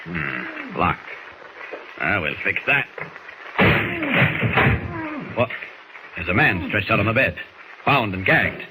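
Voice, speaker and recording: Noisy vintage radio, brisk hero, deep, commanding, impatient. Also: male voice, very animated, full character voice. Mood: determined. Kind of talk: 1940s radio acting. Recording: noisy 1940s radio recording.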